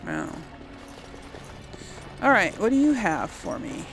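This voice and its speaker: A woman's voice: medium pitch, wildly swooping pitch, slow pace, creaky, soft background music. A woman giving casual game commentary as a let's play host, musing aloud to herself.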